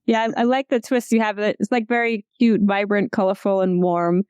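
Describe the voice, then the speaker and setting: A woman's voice: mid-range voice, level tone, moderate pace, a little mellow, clean tone, no echo. A woman hosting a casual interview, asking questions in a conversational way. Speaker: interview host.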